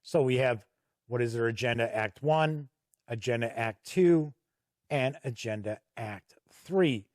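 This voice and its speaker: A man giving a casual product walkthrough as a reviewer, conversational and halting with frequent pauses. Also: mid-range voice, a little mellow, no room sound, studio quality. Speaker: tabletop game reviewer and host.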